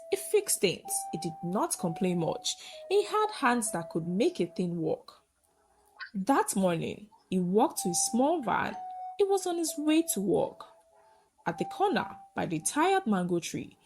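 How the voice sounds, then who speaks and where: A woman with a Nigerian accent narrating a dramatic folktale in short, deliberate storybook phrases with pauses. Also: medium pitch, a little mellow, slight background noise.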